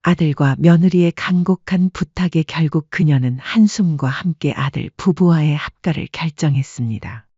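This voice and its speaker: A woman narrating a scripted story with a soft, husky voice. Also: low-pitched, normal speed, hardly any pauses, no echo, muffled recording.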